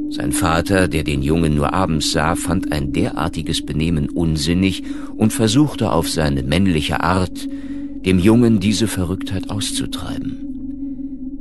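A man narrating a scripted horror audiobook in a measured, articulate storytelling manner. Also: low voice. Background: background music.

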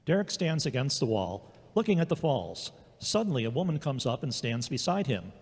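A man's voice: mid-range voice, very animated, moderate pace, husky. A man narrating a scripted audio drama. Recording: echoey reverb, loud guitar music behind. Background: guitar music.

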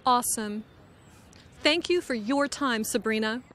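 A middle-aged woman reporter interviewing tourists outdoors with a handheld mic, speaking slowly and clearly for learners. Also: on the high side, a bit bright. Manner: upbeat, enunciates carefully. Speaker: reporter host of an English lesson. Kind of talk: slow, clear scripted interviewing. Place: outdoors on city streets. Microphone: handheld microphone.

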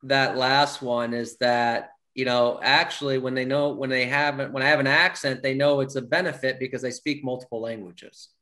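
A man's voice: medium pitch, flat delivery, moderate pace, few pauses, sharp tone. A man in his thirties, hosting and coaching casually on a group video call, encouraging and repeating his points. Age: 30s. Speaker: roundtable host, coach. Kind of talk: casual meeting moderation. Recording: noisy video call with clicks.

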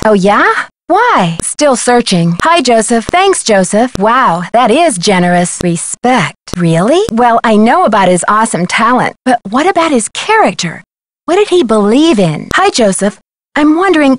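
A woman playing a determined, sassy young amateur detective in a video game, with a brisk, pointed way of talking. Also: a little high. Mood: earnest. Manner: brisk, pointed, wry questioning.

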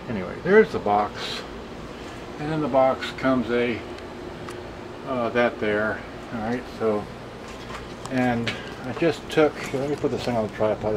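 Male vlogger, unhurried and muttering, off-the-cuff demo delivery, noisy camcorder sound. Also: mid-range voice. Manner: rambling, muttering to himself in short fragments. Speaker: vlogger showing vintage electronics. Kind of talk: casual off-the-cuff product demonstration. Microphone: handheld camcorder's built-in microphone.

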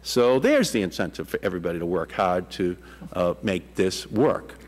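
Halting committee chairman in a reverberant hall. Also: deep voice, expressive. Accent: Boston. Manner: halting, many fillers and restarts. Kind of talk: congressional hearing questioning. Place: large hearing room. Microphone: desk microphone. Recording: reverberant hearing room.

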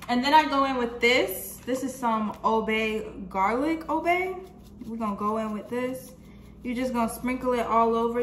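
A young American woman casually demonstrating cooking to viewers in a chatty, slangy way, recorded in a home kitchen. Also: mid-range voice. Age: young adult. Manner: informal, chatty, folksy slang. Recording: echoey kitchen with noticeable background noise.

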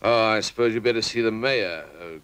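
An actor playing a self-important Member of Parliament with a South African accent, brisk and pompous, in a film comedy. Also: male voice, on the low side, a bit bright, smooth, natural voice. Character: self-important, busy male small-town Member of Parliament.

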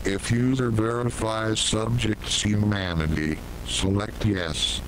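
Casting: male actor as emotionless horror game computer, low and deliberate, clipped formal orders, static rumble behind. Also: steady tone, poor audio. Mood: cold, procedural. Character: cold, impersonal male computer system giving orders. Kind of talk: scripted horror game announcement.

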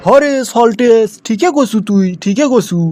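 A man plays a moralizing cartoon schoolteacher with a high voice and a Bogura accent from northern Bangladesh. He scolds with sarcasm and pointed rhetorical questions, over faint music. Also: unhurried, dark tone. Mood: sarcastic. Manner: mock agreement, rhetorical questions, preachy. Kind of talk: comic cartoon dialogue turning into sermon.

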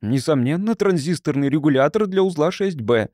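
Audiobook casting: man as earnest young monk, stiff, solemn, defensive, slightly high voice. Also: lively intonation, a little fast, a little mellow, dry recording, clean studio sound, natural voice. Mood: irritated. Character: earnest, easily flustered young male monk.

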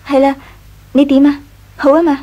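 A woman acting a well-off young married lady in a 1980s radio drama. Her voice is high and soft-edged, polite and composed, with a Hong Kong accent. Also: level tone, normal speed, muffled recording, natural voice. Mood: gentle, concerned. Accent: Hong Kong Cantonese. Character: gracious young married lady of a rich household, gentle, composed.

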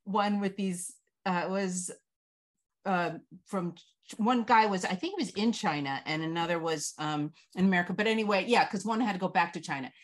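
A woman's voice: lowish voice, normal speed. Middle-aged woman chatting on a video call. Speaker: festival host and panel moderator. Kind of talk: casual panel chat. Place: indoors on a group video call. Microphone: video call mic.

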